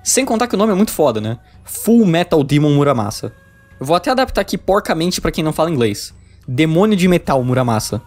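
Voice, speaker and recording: Fast-talking Brazilian man over background music. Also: fairly high pitch, big pitch swings, a little mellow. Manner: casual, profane. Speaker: video essayist reviewing visual novels. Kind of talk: scripted video essay narration, informal with swearing.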